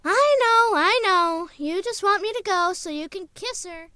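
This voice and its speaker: A woman's voice: very high-pitched, expressive, measured pace, pushed and bright, no echo, exaggerated voice. A woman playing an eager, cheeky little boy in an old-time radio drama. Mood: teasing.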